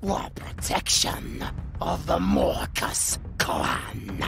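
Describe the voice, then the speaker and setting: A grave clan leader, deep creak, swinging pitch, formal, deliberate, fantasy audio drama. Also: huge pitch swings, a bit bright, a little hiss or hum, heavily acted. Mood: solemn, firm.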